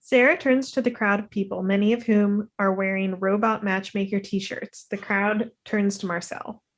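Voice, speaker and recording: A woman's voice: medium pitch, fairly even intonation, moderate pace. A young woman reads stage directions as a narrator. Her voice sits in the middle range, and she is speaking over a video call. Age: young adult. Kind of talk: scripted narration.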